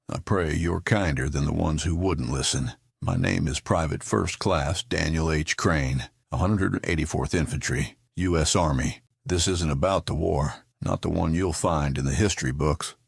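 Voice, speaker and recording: Very deep, gravelly soldier, voiced by a man. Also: moderate pace, hardly any pauses, no room sound, clean studio sound, heavily acted. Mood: solemn. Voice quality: gravelly, husky. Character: haunted, earnest American army private pleading by letter. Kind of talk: audio drama letter monologue.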